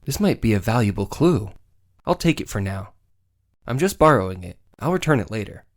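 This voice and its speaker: A man's voice: lowish voice, very animated, a little fast. An actor voicing an exasperated but polite young male defence lawyer from a video game, with hesitant, sardonic asides. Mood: musing. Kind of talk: dramatized video game dialogue.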